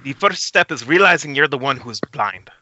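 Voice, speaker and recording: A man's voice: mid-range voice, expressive, fairly quick, sharp tone, highs cut off. A man playing a bitter, coldly sardonic injured cameraman with stiff, theatrical phrasing in an amateur table read over an internet call. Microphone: internet video call.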